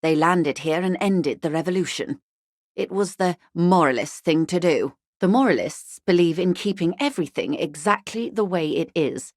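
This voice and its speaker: A genteel, worldly negotiator acted by a woman speaks in a slightly low female voice with cool, ironic detachment. Her delivery is measured and precisely worded, as scripted game dialogue. The sound is dry, with no room around it. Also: darkish tone, dry recording, lightly acted. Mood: wry, detached.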